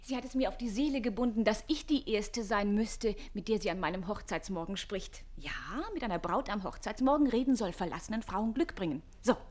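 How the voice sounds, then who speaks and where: Actress as a coquettish, witty young bride. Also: female voice, medium pitch, brisk, hardly any pauses, a little mellow, lightly acted. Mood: playful teasing. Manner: coquettish, knowing, playful. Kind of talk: classic German radio play acting.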